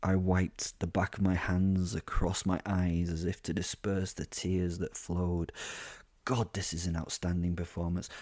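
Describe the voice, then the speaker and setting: A man's voice: deep voice, a little mellow, slightly rough, no room sound, studio quality. A man reading first-person scripted story narration with wry, self-satisfied asides. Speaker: narrator of audio drama.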